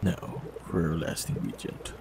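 A man voicing a warlock in an audio roleplay, talking calmly and reassuringly to the listener. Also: measured pace, background noise. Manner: calm, gentle, reassuring.

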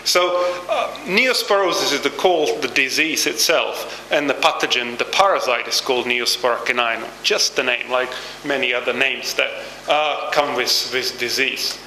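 Czech-accented man, university lecturer, bright forceful voice, halting with fillers, handheld mic, roomy hall. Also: mid-range voice, slight background noise. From Czech Republic. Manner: halting, many fillers and restarts. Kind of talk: informal public talk. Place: small brick-walled hall with projector screen. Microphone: handheld microphone.

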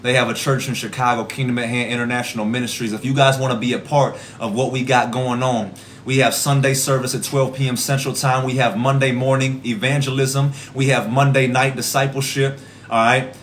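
Hoarse young man praying fervently. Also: mid-range voice, little pitch movement, brisk, slight background noise. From Rockford, Illinois. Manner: fervent, rhythmic, repetitive prayer cadence. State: hoarse, losing his voice. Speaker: evangelist. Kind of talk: livestream prayer and preaching. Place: small indoor room at home. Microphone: phone camera at arm's length.

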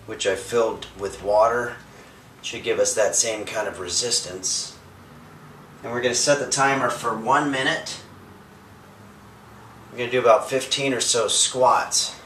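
A young man delivers workout instructions in a bright, clear voice with a straight, earnest face, stumbling and restarting mid-sentence. He is recorded from across a small bedroom on the camera mic, with a roomy echo. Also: on the low side, slight background noise. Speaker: host of a home workout parody. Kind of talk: deadpan fitness instruction. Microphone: camera mic from across the room. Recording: echoey room, voice a bit far from mic.

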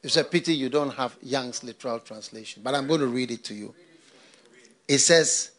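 A middle-aged man from Ghana preaching a sermon into a handheld mic on a church stage, swinging between loud bursts and hushed asides. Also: mid-range voice, normal speed. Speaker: pastor.

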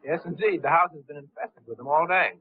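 Young rich gambler guy, flip and casual, highish husky voice, tinny 30s movie. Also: brisk. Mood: breezy, wry. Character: breezy young society gambler. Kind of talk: 1930s film acting. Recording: muffled, tinny 1930s film soundtrack.

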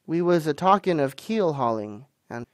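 A man's voice: fairly high pitch, very animated, moderate pace, dry recording, studio quality. Man doing a sheepish old sailor. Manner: deferential, rustic grammar. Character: old gray-haired sheepish, slow-witted sailor.

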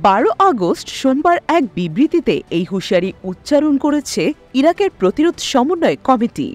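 Female news voice-over, fairly high register, very varied melody, reading from a script. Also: very animated, a little fast. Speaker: news narrator. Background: background music.

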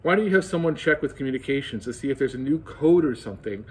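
A man's voice: mid-range voice, animated, fast talker, husky. A man reading as a shrewd smug general. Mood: hopeful.

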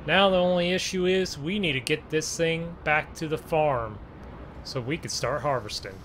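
Guy doing casual game commentary, takes his time, slightly higher voice, some engine noise behind. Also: moderate pace, fairly bright tone. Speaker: gaming video host. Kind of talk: casual role-play gameplay commentary.

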